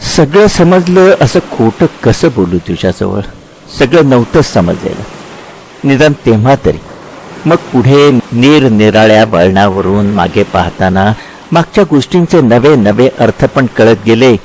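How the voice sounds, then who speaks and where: A man playing Krishna as a tender, reflective lover looking back in a dramatized literary dialogue, speaking with halting repetitions. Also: medium pitch, animated, a little mellow, a little hiss or hum, rough recording. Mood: gently confessing.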